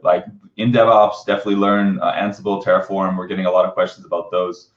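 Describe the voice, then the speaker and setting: A man's voice: low voice, little pitch movement, quick pace. A man hosting a casual live webinar interview in an upbeat, informal, chatty way. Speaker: webinar host. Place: indoor room, live online video call. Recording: roomy echo, dull lo-fi webcam sound.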